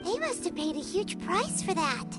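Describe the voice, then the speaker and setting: A woman's voice: very high-pitched, sing-song, moderate pace, music in the background. A woman voicing a tiny, chatty, childlike companion in a video game, blunt and curious.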